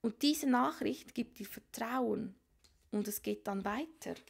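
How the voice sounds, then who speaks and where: Woman tarot reader with a cold, gravelly and a bit high, casual and musing, drops into whispers. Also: slow. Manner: musing, thinks aloud, frequent whispered asides. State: has a cold. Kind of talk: casual tarot reading to viewers. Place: small room at a card table.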